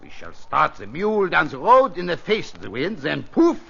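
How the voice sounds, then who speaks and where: An actor playing a hot-tempered, obsessive French sea captain with a French accent, theatrical and commanding, in an old-time radio drama. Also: male voice, a little high, huge pitch swings, normal speed, gravelly, fairly bright tone, slightly rough, exaggerated voice. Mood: eager, impatient. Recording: dull, noisy old radio transcription.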